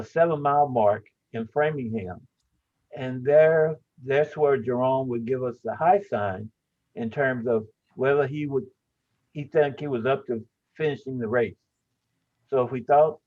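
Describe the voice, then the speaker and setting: Brief: older academic man in his sixties, measured storytelling, tinny call audio. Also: medium pitch. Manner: frequent "uh" fillers, measured storytelling. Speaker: professor giving a tribute. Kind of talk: personal tribute speech.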